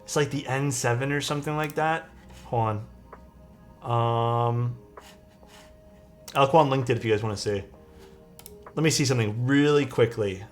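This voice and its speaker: Chill toronto dude talking to chat while soldering, laid back, faint music in the back. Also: male voice, medium pitch, moderate pace, pauses often. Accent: Toronto Canadian. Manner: easygoing, chatty, answers chat while soldering. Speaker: livestreamer building a keyboard. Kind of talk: casual livestream chat.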